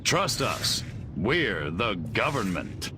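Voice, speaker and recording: A secretive government agent in a game cutscene. He talks slowly and evasively, with expressive swings of pitch, over clear vehicle noise. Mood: calm, assured. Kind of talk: video game cutscene acting.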